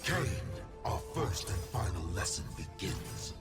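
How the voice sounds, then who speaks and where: Very deep menacing villain. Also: male voice, sing-song, unhurried, loud music behind the voice. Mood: cold contempt. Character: menacing, contemptuous cosmic warlord villain speaking as a collective. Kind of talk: video game character voice lines.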